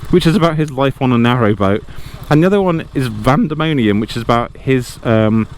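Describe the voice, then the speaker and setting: A man's voice: medium pitch, animated, brisk. A British man chatting casually and candidly in a rambling, self-deprecating vlog, recorded on a lapel mic while riding a motorcycle. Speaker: motorcycle vlogger. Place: outdoors on a moving motorcycle. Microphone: lavalier inside riding helmet. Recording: loud engine and wind-like vehicle noise.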